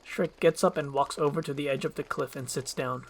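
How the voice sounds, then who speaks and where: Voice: medium pitch, fairly even intonation, fairly quick. A narrator reading screenplay directions in a scripted ASMR storytelling style.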